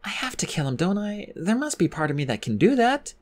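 A reader voicing a big, gentle young male dragon who talks in a hesitant, apologetic way. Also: mid-range voice, big pitch swings, moderate pace, pitched above the performer's own voice, lightly acted.

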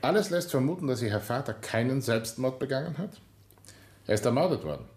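Actor as formal inspector, lively intonation. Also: male voice, mid-range voice, close to an everyday voice. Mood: grave, formal. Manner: formal, measured, insistent. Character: composed, relentless male police inspector. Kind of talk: German radio drama acting.

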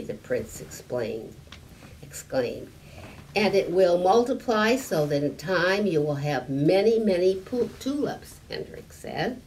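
An elderly woman reads a picture book aloud to children in a deliberate, slightly halting manner, adding small explanations. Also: fairly low pitch, darkish tone. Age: 70s or older. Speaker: story time reader. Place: library room with stone fireplace, indoors. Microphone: camera a few meters away. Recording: distant mic, room noise.

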